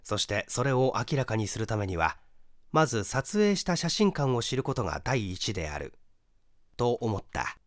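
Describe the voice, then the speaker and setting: A man's voice: mid-range voice, sing-song, moderate pace, dry recording, studio quality. A man reading a mystery story aloud as scripted narration. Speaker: audiobook reader, stage actor.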